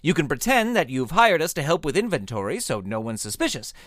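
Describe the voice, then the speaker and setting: A man's voice: a little high, sing-song, a little fast, fairly bright tone, dry recording, studio quality. A man acting in an audio drama as a confident, teasing young magician turned detective, with a theatrical manner. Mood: eager, teasing.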